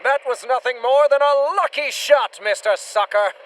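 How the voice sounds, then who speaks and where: A man's voice: very high voice, moderate pace, a bit bright. A man playing a boastful, pompous big-game hunter in a radio play. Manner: pompous, theatrical, self-praising.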